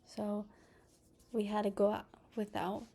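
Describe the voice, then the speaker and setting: A young woman whose first language is Spanish gives a class presentation, partly read and partly personal, speaking softly with pauses and restarts. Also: medium pitch, monotone. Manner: slow and soft-spoken, with pauses and restarts. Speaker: student presenter.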